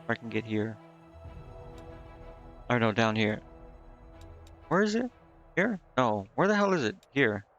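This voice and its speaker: A man with a fry-edged, gravelly voice gives casual game-stream commentary, muttering his thoughts and reading text aloud. The mic is a bit dull and lo-fi, with music clearly playing behind him. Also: mid-range voice, very animated, a little slow, long pauses, a bit bright.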